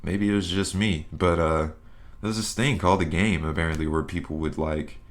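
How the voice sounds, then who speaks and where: Young male podcast host, deep voice, loose rambling delivery, casual swearing. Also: steady tone, brisk. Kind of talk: casual solo podcast chat.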